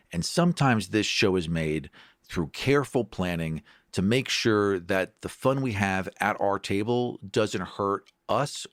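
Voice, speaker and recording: A man's voice: lowish voice, no echo, clean studio sound. A man speaking as a podcast host and game master, delivering a scripted introduction. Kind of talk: scripted show introduction and promo.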